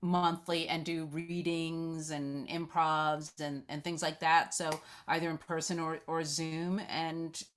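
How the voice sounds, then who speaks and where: A woman's voice: deep voice, little pitch movement, takes it slowly, few pauses, a little hiss or hum. A woman moderating a casual question-and-answer session in an informal, conversational way. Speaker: moderator of the reading.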